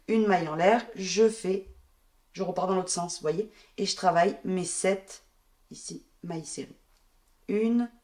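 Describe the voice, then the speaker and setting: Woman with a head cold, counting stitches. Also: mid-range voice. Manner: calm, counting stitches aloud while working. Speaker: crochet tutorial host. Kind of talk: step-by-step crochet instruction. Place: indoors at a wooden table.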